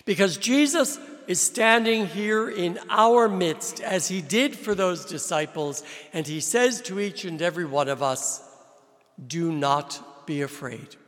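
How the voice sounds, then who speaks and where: A man preaching a sermon as a visiting priest, from New Jersey, with slow, deliberate delivery and emphatic rises. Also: high voice, lively intonation, fairly bright tone. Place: large church with long reverb. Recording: reverberant church hall.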